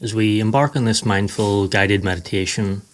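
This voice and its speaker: A man narrating a scripted guided meditation in a slow, soothing, measured way. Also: on the low side, steady tone.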